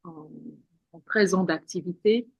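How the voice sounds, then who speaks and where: A woman's voice: lowish voice, unhurried, no echo, highs cut off. A woman speaking as an interview guest in an earnest spiritual tone, who often repeats words and restarts her sentences. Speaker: guest, women's wellness practitioner.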